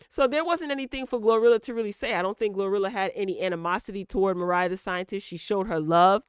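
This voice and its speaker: A woman's voice: fairly low pitch, dry recording, clean studio sound. A woman host giving casual, opinionated gossip commentary, speaking emphatically and straight to her listeners, repeating words for emphasis. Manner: emphatic, direct address to listeners with repetition for emphasis.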